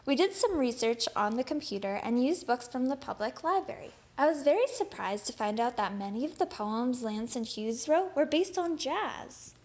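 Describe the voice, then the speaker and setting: A woman reading a children's picture book aloud in an expressive storytelling manner. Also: high voice, moderate pace, few pauses.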